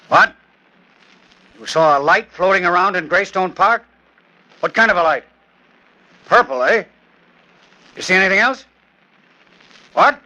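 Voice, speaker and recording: A man's voice: high voice, sharp tone, highs cut off, full character voice. A man playing a brash, chatty police desk sergeant in 1940s radio style, with slangy, exclamatory talk. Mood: brisk, questioning. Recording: noisy old 1940s radio recording.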